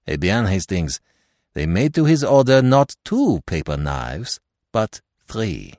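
A man's voice: fairly low pitch, big pitch swings, takes it slowly, darkish tone, no room sound, exaggerated voice. Shrewd Belgian detective done by a male narrator, courteous, precise, self-assured, varied intonation, close dry sound. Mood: measured.

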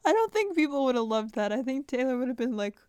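A woman co-hosting a casual fan podcast, relaxed and a little hesitant, giggling now and then. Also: sits high, fast talker, smooth, no room sound, clean studio sound. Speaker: podcast co-host.

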